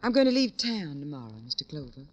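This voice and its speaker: A woman's voice: low voice, big pitch swings, fast talker, lo-fi sound. A woman acting a lonely, grieving, proud widow in a classic radio drama, melodramatic and wounded. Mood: hushed, sad. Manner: hesitant, melodramatic, wounded pride.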